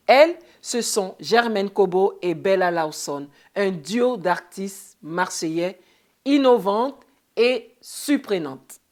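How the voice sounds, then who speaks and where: A woman's voice: mid-range voice, darkish tone. A woman presenter introducing guests and asking them short interview questions.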